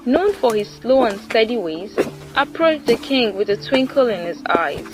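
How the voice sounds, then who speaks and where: A woman's voice: a little high, animated, moderate pace, music in the background, low-quality recording. A woman narrating a scripted folktale as a storyteller.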